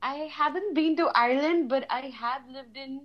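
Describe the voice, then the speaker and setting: A woman, answering a radio interviewer's questions about her life. Her voice sits on the higher side, and she speaks in a chatty, loose way, with plenty of fillers and frequent laughter. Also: normal speed, highs cut off. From India. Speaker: guest, businesswoman. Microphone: phone line from India.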